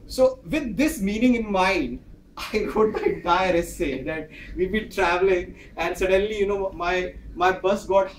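A man in his thirties, a Hindi speaker with an Indian English accent, delivers a prepared speech in a large carpeted office training room. His voice sits a little high and he moves about animatedly, acting out each exchange. He is recorded from a distant camera, so the room echoes around him. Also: measured pace, a little hiss or hum. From northern India. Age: 30s. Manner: animated, acts out dialogue with gestures, pacing while speaking. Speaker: speaker at a club meeting. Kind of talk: prepared personal speech with storytelling. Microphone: far camera.

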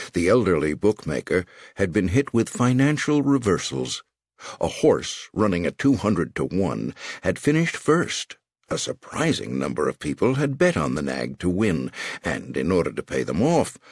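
A man's voice: sits low, darkish tone, slightly rough, no room sound, clean studio sound. A man reading a crime novel as scripted audiobook narration, in a dramatic storytelling manner.

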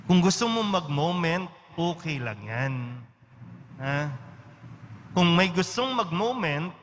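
Filipino male priest, chatty homily style, slightly high voice, church echo. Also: fairly bright tone. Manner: conversational, with frequent tag questions. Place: large church. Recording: church reverb with faint background noise.

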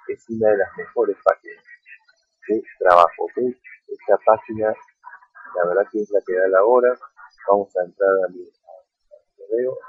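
A man's voice: on the low side, breathy edge, no room sound. A man walking viewers through a website in a screen-recorded tutorial, often checking in with "ok?" and calling them friends. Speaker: online earning vlogger. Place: at a computer, voice-over on screen capture. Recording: dry tinny phone-like sound.

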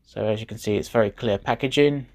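A man's voice: fairly low pitch, fast, creaky, darkish tone. A man who is not a native English speaker, chatting casually while unboxing a model train, rambling and correcting himself.

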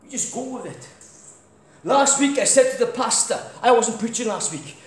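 British man, middle-aged, booming crusade preacher, high voice, very echoey hall. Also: animated, moderate pace. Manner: loud crusade-style preaching, walks about. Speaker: guest preacher. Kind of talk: sermon with anecdotes. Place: large church hall. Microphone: far camera, no mic. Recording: echoey church hall, faint noise.

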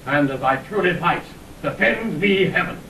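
High-voiced, echoing proud knight. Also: male voice, animated, moderate pace, loud music behind the voice. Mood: solemn defiance. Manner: solemn, declamatory. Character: proud accused duke and sworn knight. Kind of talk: classical Shakespearean audio drama acting. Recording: echoey, distant, slightly noisy old recording.

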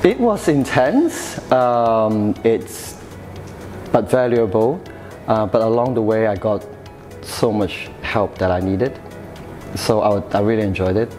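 Interview guest, man in his 30s, mellow tone, halting delivery with fillers, faint music underneath. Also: lowish voice, lively intonation. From Hong Kong, raised in the UK. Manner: hesitant, many fillers and restarts. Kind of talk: casual interview answers. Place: café-style room with sofa, indoors.

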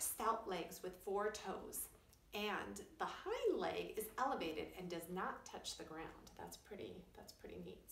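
Animated woman reading aloud, roomy sound. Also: on the low side, slight background noise. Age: middle-aged. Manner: expressive, explaining simply for young children. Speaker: preschool teacher. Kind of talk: children's read-aloud with explanatory asides. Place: small wood-panelled room indoors. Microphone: camera a few feet away. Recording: roomy, distant camera microphone.

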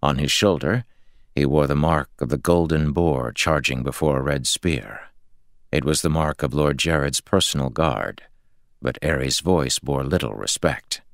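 Very deep, gravelly male audiobook narrator. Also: a little slow, no room sound, clean studio sound. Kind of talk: scripted fantasy fiction narration.

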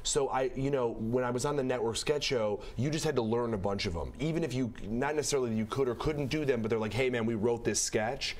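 A man in his thirties from the Midwest, a comedian guest chatting on a TV studio set, animated and full of fillers. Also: medium pitch, quick pace, hardly any pauses. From American Midwest. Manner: chatty and animated, many fillers and restarts, laughs while telling stories. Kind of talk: talk show interview.

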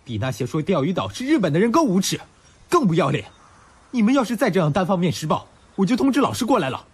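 An actor playing a righteous, kind young male university student in a radio drama, with a husky voice. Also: high voice, sing-song, quick pace, full character voice. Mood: indignant. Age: young adult. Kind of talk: Mandarin radio drama acting.

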